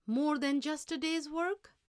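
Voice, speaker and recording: A woman's voice: high voice, a little slow, pitched above the performer's own voice. Woman voicing an earnest young grandson. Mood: excited. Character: eager young grandson, earnest and easily worried. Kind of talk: storybook character dialogue.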